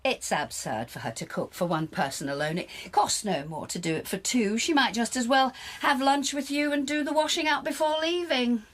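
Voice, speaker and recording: A woman's voice: a little high, expressive, normal speed, few pauses. An actress in a radio drama playing a scheming middle-aged mother, businesslike and politely persuasive.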